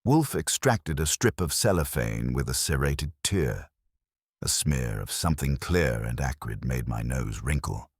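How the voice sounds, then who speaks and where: A man's voice: rumbling low, expressive, a little slow, breathy edge, no room sound, clean studio sound. A man narrating a first-person detective story in a wry, hard-boiled manner. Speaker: audiobook narrator.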